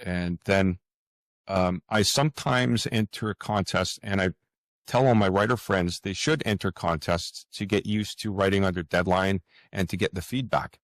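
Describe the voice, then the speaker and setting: A man's voice: sits low, fairly even intonation, moderate pace, dry recording. A man speaking reflectively as an interview guest, earnest and hesitant, often repeating words and phrases. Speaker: author, podcast guest.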